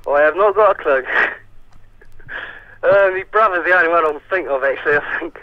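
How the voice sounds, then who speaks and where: A man's voice: on the high side, a little fast, dry recording. A young man chatting casually and easily over the telephone. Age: young adult. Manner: easygoing, laughs readily. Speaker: prank call victim. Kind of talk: casual phone chat. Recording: dull, band-limited telephone line.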